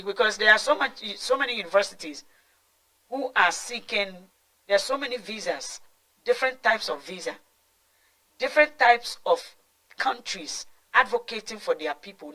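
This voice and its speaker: A woman's voice: medium pitch, pushed and bright, poor audio. A Ghanaian woman interviewing a guest, asking long, rambling questions with Twi phrases mixed in.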